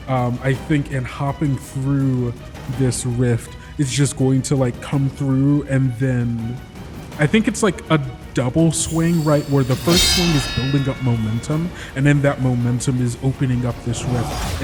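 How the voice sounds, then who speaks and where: Halting man player over clear music. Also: mid-range voice. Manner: halting, thinking aloud. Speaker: tabletop game player. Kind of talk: improvised in-game description.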